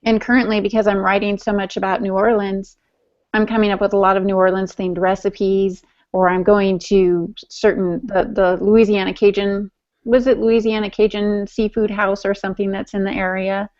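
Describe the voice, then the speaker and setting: A woman co-hosting a podcast in casual, chatty fashion, with a teasing streak. Her voice sits at an ordinary middle pitch. The audio is dull and lo-fi. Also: flat delivery, normal speed, darkish tone. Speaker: podcast co-host and writer. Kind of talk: casual chat.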